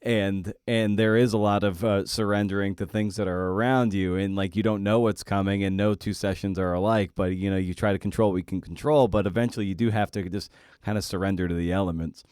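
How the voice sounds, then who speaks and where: Guy hosting a podcast, lowish smooth voice, feels his way through questions. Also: fairly even intonation, a little mellow, no room sound, studio quality. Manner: halting, searching phrasing of questions. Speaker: podcast host. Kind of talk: conversational interviewing.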